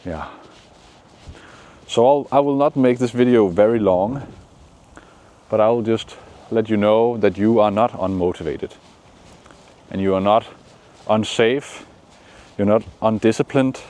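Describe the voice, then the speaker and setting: A man from Denmark with a Danish accent, giving calm, encouraging motivational talk outdoors in the shade, with frequent pauses. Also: on the low side. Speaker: manifestation coach. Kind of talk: casual motivational vlog talk.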